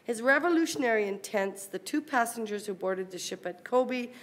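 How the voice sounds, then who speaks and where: A woman reading a formal academic paper aloud at a university conference, in a measured, careful manner. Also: on the high side, animated.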